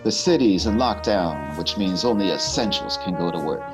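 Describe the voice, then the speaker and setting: A man with a very low voice narrates a hard-boiled noir spoof for radio. His delivery is deadpan and dramatic, with music playing clearly behind him. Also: normal speed. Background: music under the voice.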